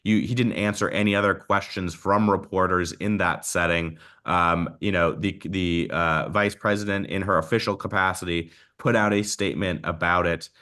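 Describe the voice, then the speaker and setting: Deep, bright-voiced male political panelist. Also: fairly even intonation. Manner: measured, hedging, repeats phrase openings. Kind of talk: off-the-cuff political analysis.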